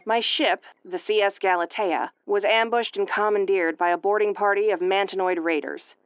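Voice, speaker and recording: A woman's voice: mid-range voice, fairly even intonation, normal speed, clear, resonant tone, dry recording, highs cut off, lightly acted. A woman playing an injured, sarcastic starship first officer in an audio roleplay, with a wry, exasperated tomboyish delivery. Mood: weary, wry. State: woozy and battered. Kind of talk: audio roleplay acting, recorded log monologue.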